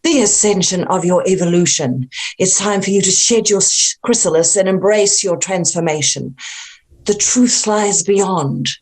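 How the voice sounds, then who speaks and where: An ancient female creature of cosmic horror, acted by a woman in a table read. The delivery is deliberate and grandiose, with a sinister, taunting menace at a middle pitch. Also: a little mellow, natural voice. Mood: coaxing, ominous.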